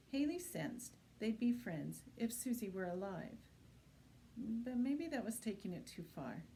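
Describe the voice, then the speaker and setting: A woman's voice: medium pitch, mellow, a little hiss or hum, poor audio. A woman reading a suspense novel aloud in the style of an audiobook, as a library reader.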